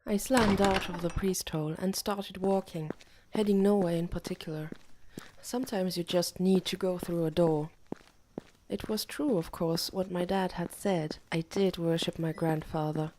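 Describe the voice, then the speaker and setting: A woman's voice: on the low side, steady tone, moderate pace, darkish tone, slight background noise. A woman reading a first-person novel as an audiobook narrator.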